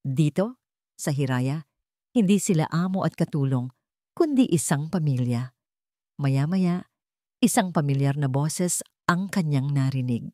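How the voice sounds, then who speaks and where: Low-voiced woman narrating a dramatic story. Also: lively intonation, unhurried, pauses often, dark tone, dry recording, clean studio sound.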